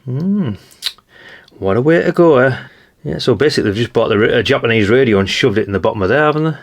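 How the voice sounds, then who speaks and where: A British man with a gravelly voice giving a casual, rambling hands-on commentary as he thinks aloud. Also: medium pitch, expressive, fast. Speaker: hobbyist radio channel host.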